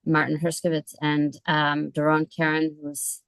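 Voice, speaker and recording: Chatty low-voiced woman hosting a call. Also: monotone, normal speed, smooth, no echo. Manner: chatty, laughs often, many fillers. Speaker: event host and moderator. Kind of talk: casual moderating on a video call. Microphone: video call.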